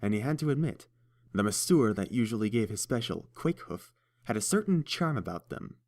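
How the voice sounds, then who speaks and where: A man narrating a story in a steady, even storytelling manner. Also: lowish voice, normal speed. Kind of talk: fan audiobook narration.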